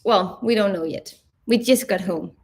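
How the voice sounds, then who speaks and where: A woman's voice: mid-range voice, normal speed, darkish tone. A Danish woman in her late twenties with a Danish accent, co-hosting a casual livestream from home, reading out comments, teasing and blunt. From Denmark. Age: 20s. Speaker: vlogger co-host of a livestream.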